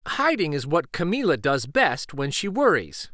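A man reading a children's story aloud in an expressive, deliberate way. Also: fairly high pitch, no room sound, studio quality. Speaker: storybook reader.

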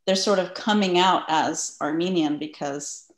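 A woman in her thirties with a fairly low voice joins a video call, speaking briefly and politely. Her audio sounds roomy, with audible room echo around her. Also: unhurried.

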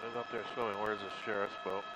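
A man's voice: fairly low pitch, level tone, fast, very noisy. A man giving offhand live commentary on a police chase from a news helicopter, with fillers as he talks.

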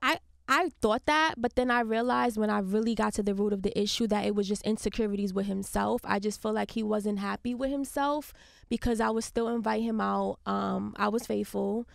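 A young woman from Harlem talks in a soft, laid-back way, with a gravelly edge under her voice. She sits at a studio boom mic, and the sound is dry and close. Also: a little fast, dry recording. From Harlem, New York. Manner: laid-back, chatty, laughs often. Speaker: guest artist. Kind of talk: casual interview chat. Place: radio station studio. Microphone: studio mic on boom arm.

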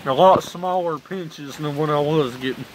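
A man's voice: on the high side, moderate pace, slight background noise. A young man, chatting casually and cursing often in a laid-back drawl while filming himself on a phone on a covered porch. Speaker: vlogger reviewing dip tobacco. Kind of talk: casual rambling vlog chat. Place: covered porch outdoors in heavy rain. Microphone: phone camera on kickstand. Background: rain falling.

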